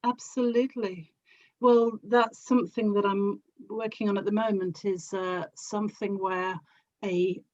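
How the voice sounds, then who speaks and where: A woman's voice: medium pitch, darkish tone, highs cut off. A woman from South London with a South London accent, chatting casually as an interview guest. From South London, England. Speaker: interview guest, audio drama actor and producer.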